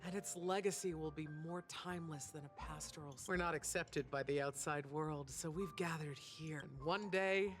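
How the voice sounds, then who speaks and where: A woman's voice: low voice, moderate pace, few pauses, soft background music, close to an everyday voice. Woman playing a wistful, poetic lounge bartender. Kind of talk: video game voice acting.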